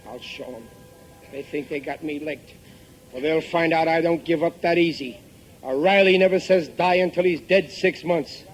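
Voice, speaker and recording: A man's voice: a little high, normal speed, soft background music, lightly acted. An actor playing a stubborn, petty working-class family man, folksy and blustering, in an old-time radio sitcom. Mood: defiant. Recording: noisy vintage 1950s radio broadcast.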